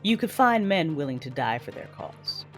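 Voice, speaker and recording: An actress playing a cruel, cold cyborg warrior mother in an audio drama, measured, menacing and commanding. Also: deep voice, very animated. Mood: cold menace. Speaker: narrator of own story in audio drama. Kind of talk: dramatic first-person narration and acting. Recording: music bed behind voice.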